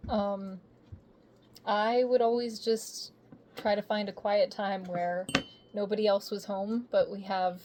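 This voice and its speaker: A woman's voice: mid-range voice, little pitch movement, takes it slowly, mellow, a little hiss or hum. A woman, a voice actor with years of radio work behind her, chatting easily in an interview. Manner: chatty, easygoing storyteller. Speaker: voice actor guest. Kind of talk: casual interview chat.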